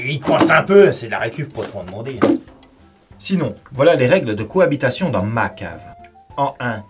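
A man plays a short-tempered medieval warrior who swings from fake friendliness to insults and shouting, his voice pitched high and bouncing all over. Light music hums faintly behind him in a stone cellar. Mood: bossy. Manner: mock-friendly, then sarcastic and insulting, shouting at times. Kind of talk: comedy sketch acting. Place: stone cellar set, indoors.

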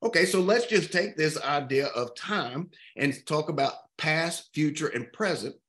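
A man in his fifties from East Texas leading a literature discussion over a video call, expressive and thoughtful, stretching out key words. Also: a little high, a little slow. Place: home room with art on walls.

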